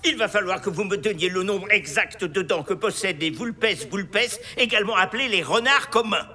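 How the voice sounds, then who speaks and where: A man voicing an excitable, theatrical game show host in a French cartoon, announcing everything bombastically. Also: high-pitched, moderate pace, faint music behind. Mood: suspenseful.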